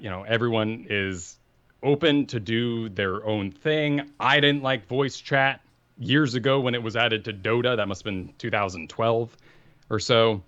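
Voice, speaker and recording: Deep-voiced, forceful podcast co-host. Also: male voice, no room sound, dull audio. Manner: energetic, assertive, lecturing. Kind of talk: casual gaming podcast talk. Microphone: studio microphone.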